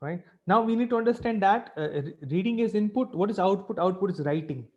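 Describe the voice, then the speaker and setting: High-pitched Indian man lecturing, dull audio. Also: lively intonation, a little fast, darkish tone. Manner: didactic, repeatedly checking with "right?" and "fine". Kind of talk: informal webinar lecture with anecdotes.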